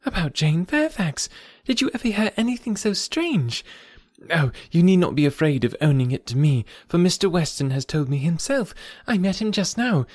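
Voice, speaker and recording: Man playing a naive young woman, high-pitched, very animated, gushing, emphatic, excitable, audiobook character. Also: moderate pace, no echo. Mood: eager, excited. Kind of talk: classic novel audiobook character dialogue.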